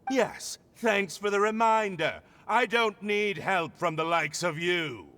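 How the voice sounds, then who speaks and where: A pompous male aristocrat from a video game, stilted and easily flustered. The voice is sharp and rather high, delivered unhurriedly with big swings, ringing in a long reverb. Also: slow, full character voice. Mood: indignant. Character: pompous, face-saving male aristocrat in distress. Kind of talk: video game cutscene acting. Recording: long cave-like reverb, music behind.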